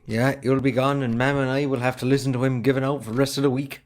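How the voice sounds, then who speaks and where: A reticent young Irishman , acted by a man, with a medium-pitched voice. He is a farmer's son in drama dialogue, secretive and guarded. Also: level tone, quick pace, natural voice. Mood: curious.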